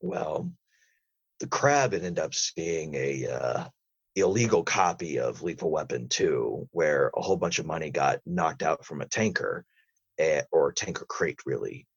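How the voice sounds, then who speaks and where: A man voicing a gruff, husky whale interrogator with a stern, deliberate manner in an improvised audio drama. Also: expressive, muffled recording, exaggerated voice. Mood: stern, matter-of-fact. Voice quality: husky, low. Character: gruff whale interrogator hunting crab drug smugglers.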